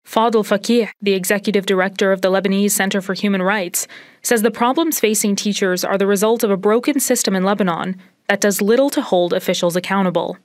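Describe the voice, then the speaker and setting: A woman's voice: mid-range voice, no echo. Young woman reporter, dry close sound. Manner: measured, even news delivery. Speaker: news correspondent. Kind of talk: scripted news report. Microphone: handheld reporter mic.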